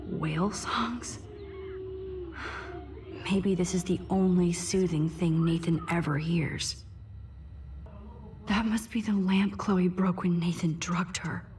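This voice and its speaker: A curious, earnest teenage girl in a video game. She is soft-spoken and a bit hesitant, with a slightly low voice for a girl. Faint ambient noise sits behind her. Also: slow, long pauses. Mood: hushed, uneasy. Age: young adult. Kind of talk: video game dialogue acting.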